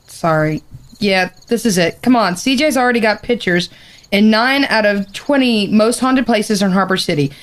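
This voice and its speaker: An actress playing a bossy, teasing young woman who leads a group of explorers in an audio drama, with a brisk, dry manner. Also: mid-range voice, close to an everyday voice.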